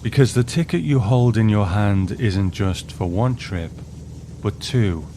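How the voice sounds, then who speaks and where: A man's voice: on the low side, a little slow, dark tone, a little hiss or hum. A man hosting a show with scripted narration, introducing and discussing a story. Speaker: host.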